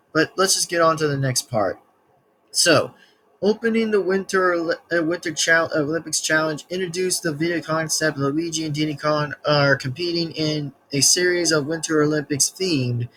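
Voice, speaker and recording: A man's voice: a little high, moderate pace, fairly bright tone, a little hiss or hum, poor audio. A man in his early twenties hosting a reaction video, rambling and stumbling through restarts as he reads text aloud. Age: 20s. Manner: rambling, many restarts and stumbles while reading. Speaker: reaction channel host.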